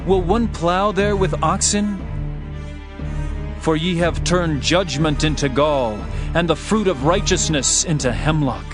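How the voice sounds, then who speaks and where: A man's voice: on the high side, a little slow. A man narrating a dramatized Bible reading in a solemn, declamatory manner. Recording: music under the voice. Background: background music.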